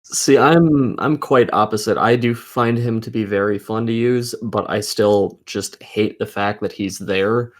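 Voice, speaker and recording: A man's voice: fairly low pitch, a little slow. A man co-hosting a casual gaming podcast, talking bluntly and cursing heavily.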